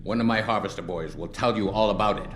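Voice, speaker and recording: A man plays a middle-aged video game crime boss with a low voice. He is sardonic and world-weary, taunting people in a deliberate, menacing way. The room around him echoes. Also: fairly bright tone, soft background music, natural voice. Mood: shrewd, bitter. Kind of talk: video game dramatic acting. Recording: roomy echo, faint background noise.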